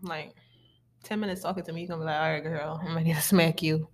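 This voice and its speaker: A woman's voice: low voice, fairly even intonation, fast, a little hiss or hum. A young woman co-hosting a podcast, teasing and joking through casual chat with frequent laughter. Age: young adult. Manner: teasing jokester, laughs a lot. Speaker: podcast co-host.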